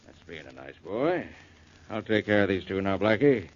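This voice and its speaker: An actor playing an unruffled small-town police chief, plain-spoken with clipped folksy phrasing, in 1940s radio drama style. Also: male voice, fairly low pitch, big pitch swings, normal speed. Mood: dry, in command. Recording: muffled 1940s radio recording.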